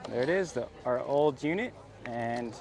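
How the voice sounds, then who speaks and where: A man's voice: medium pitch, expressive, moderate pace. A man giving an informal, easygoing product demonstration outdoors with other people around. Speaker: motorcycle vlogger. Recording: busy outdoor chatter behind.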